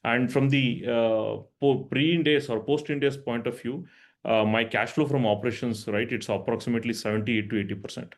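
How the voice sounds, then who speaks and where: A man's voice: medium pitch, steady tone, moderate pace. A man speaking as a company finance executive, explaining answers on an earnings call and often checking in with "right?".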